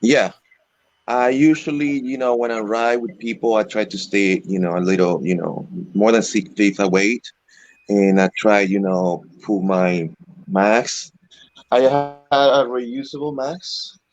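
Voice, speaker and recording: Low-voiced Venezuelan-raised man, halting delivery. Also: dry recording, highs cut off. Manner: halting, frequent "you know" fillers. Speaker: interview guest, electric skateboard rider. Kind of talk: casual video call interview.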